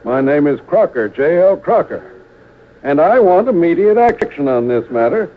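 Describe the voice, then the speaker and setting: An American actor playing a pompous, demanding railroad lawyer in a radio western, formal and indignant. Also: male voice, fairly high pitch, very animated, moderate pace. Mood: officious. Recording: tinny old radio recording, faint noise.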